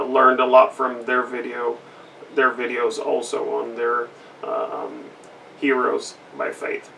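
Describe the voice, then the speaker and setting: A man raised in Brazil, giving a recorded testimony in an earnest, halting way with many fillers. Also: mid-range voice, creaky, a bit bright, distant mic, a little hiss or hum, poor audio. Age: adult with a school-age daughter. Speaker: missionary kid giving a testimony. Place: indoor room with a flag behind.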